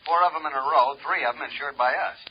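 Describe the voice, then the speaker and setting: An actor playing a brisk, teasing male insurance company man in a 1950s radio drama. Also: mid-range voice, husky. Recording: dull old radio broadcast.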